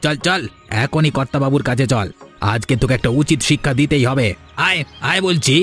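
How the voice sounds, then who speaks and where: A man's voice: medium pitch, fairly quick, a bit bright, lightly acted. A man voicing an officious, tattling manservant in a fairy tale cartoon, scolding and accusing in a bullying way. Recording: music behind the voice.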